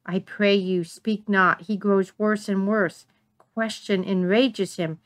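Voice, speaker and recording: A woman acts out a commanding Shakespearean queen in a dramatic reading. She speaks slowly and deliberately at a medium pitch, composed and in control. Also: fairly even intonation, close to an everyday voice. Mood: firm, urgent. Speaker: amateur reader in a dramatic reading.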